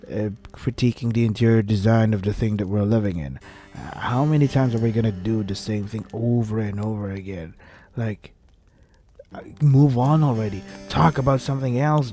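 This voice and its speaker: A man's voice: fairly low pitch, dark tone. A man reading a visual novel aloud and commenting on it in an irritated, sarcastic way with heavy swearing. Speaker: let's play host.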